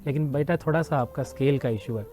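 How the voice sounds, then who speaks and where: A man's voice: mid-range voice, a little fast, warm tone, faint music behind. A man judging on a TV show, speaking in an encouraging, advisory way and repeating phrases for emphasis. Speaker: judge of a children's religious recitation contest. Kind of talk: spoken judging feedback on a TV show.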